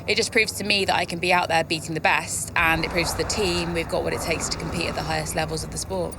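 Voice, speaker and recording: A woman's voice: low voice, steady tone, fast talker, noisy background. A young woman playing a confident, competitive racing driver in a game, answering in an assured, earnest way. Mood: confident, upbeat. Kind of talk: acted media interview.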